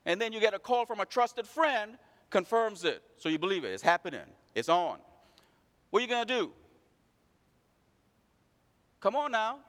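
Man, pastor preaching, high animated voice, questioning the congregation, echoing hall. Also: moderate pace, long pauses. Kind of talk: sermon.

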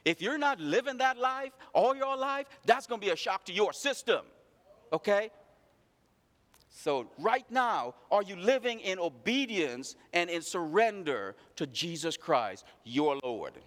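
A man's voice: high-pitched, very animated, unhurried, fairly bright tone, big-room sound. A man preaching a sermon, calling out his congregation with rhetorical questions. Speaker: pastor.

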